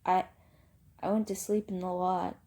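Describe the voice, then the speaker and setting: A woman's voice: lowish voice, level tone, moderate pace, mellow, natural voice. A woman voicing a sensitive teenage boy, a younger brother who is pleading and defensive.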